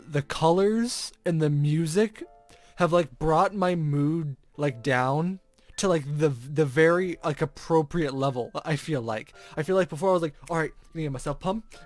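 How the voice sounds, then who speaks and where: A man hosting a gaming video, reading a visual novel aloud expressively with informal commentary. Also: fairly high pitch.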